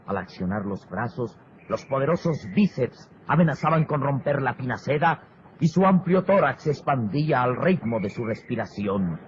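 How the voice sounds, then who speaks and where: A man's voice: medium pitch, close to an everyday voice. Guy doing old time radio adventure narrator, grandiose, dull noisy tape, music and effects behind. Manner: declamatory, florid, dramatic. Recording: noisy, muffled vintage radio recording. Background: music, sound effects and ambience.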